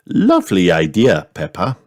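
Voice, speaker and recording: A man voicing a gentle, encouraging mother pig in a children's storybook, kindly and reassuring. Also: low voice, wildly swooping pitch, normal speed, full character voice. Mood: approving.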